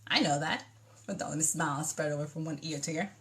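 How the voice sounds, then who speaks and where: A woman's voice: sits low, fast, background noise. A woman with a Dominican accent reading her own novel aloud, giving the characters their lines and stopping to chat about the story. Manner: chatty, animated dialogue voices. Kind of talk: book reading with asides.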